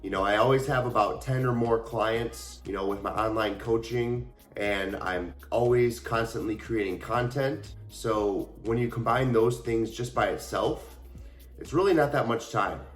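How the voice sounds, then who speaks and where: A man's voice: lowish voice, unhurried, faint music behind. Stuffy-voiced man in an echoey room. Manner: earnest, explanatory, addresses viewers directly. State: stuffy from a cold. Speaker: fitness coach and YouTuber. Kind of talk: casual talk to camera.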